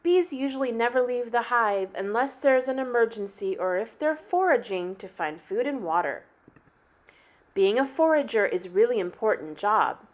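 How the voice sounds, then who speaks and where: A woman reading a picture book aloud like a teacher, with animated, deliberate phrasing meant for young children. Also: on the high side. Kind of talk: expressive children's story read-aloud.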